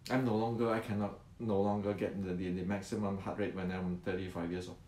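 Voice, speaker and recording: A man's voice: deep voice, little pitch movement, fairly quick, a little mellow, slight background noise. A man in his sixties explaining martial arts to viewers, often repeating words and answering his own questions. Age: 60s. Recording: roomy echo.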